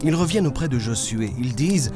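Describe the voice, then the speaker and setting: A man's voice: medium pitch, expressive, brisk, close to an everyday voice. A man playing a patient storyteller in a children's cartoon, telling Bible stories expressively over music. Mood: gentle, expressive. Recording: music behind the voice.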